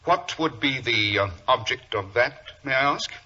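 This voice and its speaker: Gentleman detective, British, erudite and polite, animated intonation, hissy dull 1940s radio recording. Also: mid-range voice, measured pace, exaggerated voice. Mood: coolly probing. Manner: urbane, wry, hesitating with polite ums. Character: cool, erudite gentleman detective, unflappable and talkative. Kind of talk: 1940s radio drama acting.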